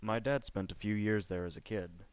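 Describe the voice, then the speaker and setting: Guy doing a dad, really low voice, dry close sound, bit hesitant. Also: fairly even intonation, normal speed, clean tone, no room sound, lightly acted. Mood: evasive. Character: wary American father on a trip.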